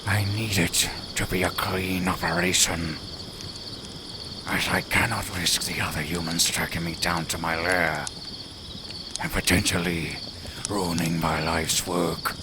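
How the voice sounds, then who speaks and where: A man playing a cunning, proud lone werewolf in an audio roleplay, speaking formally and deliberately with a menacing edge. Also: deep voice, little pitch movement, noisy background. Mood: measured, secretive. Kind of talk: slow theatrical audio roleplay.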